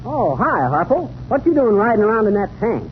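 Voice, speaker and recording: Casting: brash, folksy American wisecracker for 1930s radio comedy, animated delivery, high voice, noisy old recording. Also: male voice, a little fast, phone-quality sound. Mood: wry. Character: cocky folksy wisecracking American know-it-all man.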